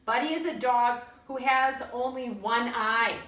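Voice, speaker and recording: A woman's voice: fairly high pitch, a little slow, a bit bright, slight background noise. A woman voicing an earnest, stubborn young girl who speaks in formal, grown-up words for a storybook. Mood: earnest polite. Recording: roomy echo, distant mic.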